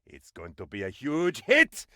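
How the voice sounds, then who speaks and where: An actor voicing a bumbling, excitable and fawning aide to a dictator in a comic video game, with theatrical delivery. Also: male voice, mid-range voice, measured pace, no room sound, clean studio sound, exaggerated voice. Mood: confident, scheming. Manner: theatrical, fawning, swooping between excitement and dismay. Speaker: game advisor character.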